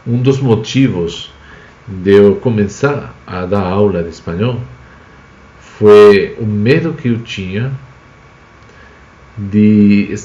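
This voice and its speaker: A man's voice: on the low side, warm tone, roomy sound, lo-fi sound. A man from Spain, a native Spanish speaker with a Spanish accent, explains words with examples in an informal live language lesson as the teacher. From Spain, Basque Country.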